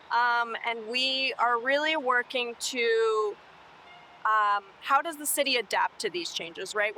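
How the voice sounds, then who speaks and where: A young woman from Colombia talks earnestly and hopefully in an outdoor interview, her voice bright and a little high. Faint traffic hums behind her on a city street. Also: poor audio. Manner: earnest, hopeful, fluent with fillers. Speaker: city sustainability worker. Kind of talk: oral history interview.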